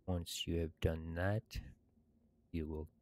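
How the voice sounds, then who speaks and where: A man in his thirties who hosts gaming videos, reading game text and commenting in a measured, halting, casual way. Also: deep voice, little pitch movement. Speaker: gaming video host reading quests aloud. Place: indoors at a computer.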